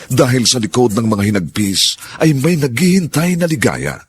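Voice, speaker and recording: A man announcing and narrating a radio drama with sweeping, drawn-out declamation. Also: mid-range voice, big pitch swings. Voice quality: husky.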